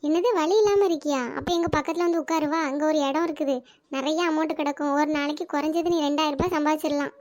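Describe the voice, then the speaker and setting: A woman's voice: high-pitched, fairly even intonation, quick pace, a little mellow, lightly acted. A performer voicing a scheming young female fake beggar in a cartoon, talking in a cocky, wheedling way. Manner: cocky, wheedling begging chant. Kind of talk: cartoon voice acting.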